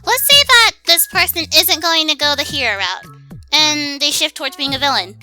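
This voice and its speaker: A woman's voice: high-pitched, animated, moderate pace, pushed and bright, soft background music. A woman chatting casually as a co-host. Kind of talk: casual chat.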